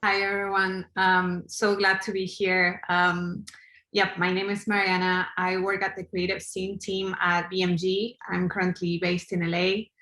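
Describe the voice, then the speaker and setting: A woman from Argentina introduces herself on a video call in a friendly, halting way. Her voice is lowish and flat, and the webcam picks up an echoey room. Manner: friendly, halting, with many fillers. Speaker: panel guest. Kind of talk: casual self-introduction.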